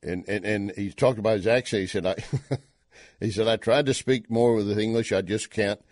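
Indignant low-voiced Texan host. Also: male voice, steady tone, moderate pace, a little mellow, dry recording, clean studio sound. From Texas. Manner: indignant, rising emphatic questions. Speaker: talk show host. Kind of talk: opinion commentary.

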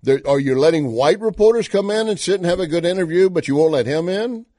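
A man's voice: high voice, lively intonation, quick pace, dark tone, no room sound, clean studio sound. A Texan man hosting an opinion talk show, commenting indignantly with emphatic questions. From Texas.

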